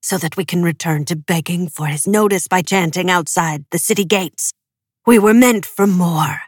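A woman's voice: fairly low pitch, moderate pace, breathy edge, dry recording, clean studio sound. A woman voicing a ruthless male preacher from a storybook, declaiming firm and commanding.

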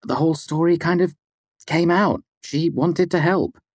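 A man voicing an earnest young woman in an audiobook, hurried and placating in manner. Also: fairly high pitch, steady tone, darkish tone, dry recording, clean studio sound, pitched above the performer's own voice. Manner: hurried, pleading. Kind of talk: audiobook character dialogue.

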